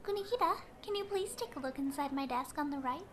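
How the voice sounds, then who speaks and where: A woman's voice: sits high, animated, fast, crowd noise. A woman playing a sweet, solicitous teenage schoolgirl in an anime fan dub, speaking politely and innocently. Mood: politely helpful.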